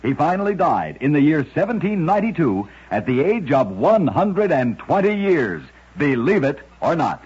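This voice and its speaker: Husky male old-time radio storyteller. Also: a little high, big pitch swings, normal speed, hardly any pauses, darkish tone. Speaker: radio narrator of curiosities. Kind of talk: old-time radio scripted narration of strange true stories. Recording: dull, lo-fi old radio recording.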